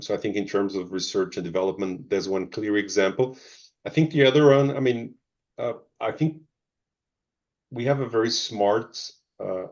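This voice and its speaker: Deep gravelly man. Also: darkish tone. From Zimbabwe, Portuguese family. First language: Portuguese. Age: middle-aged. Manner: hesitant, many ums and restarts. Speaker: pharma executive guest. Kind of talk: thoughtful interview answers. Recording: dull lo-fi audio.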